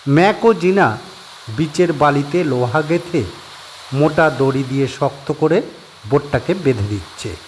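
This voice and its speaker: A male narrator reading a scripted horror story in a steady, descriptive way. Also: on the high side, unhurried, slight background noise, poor audio.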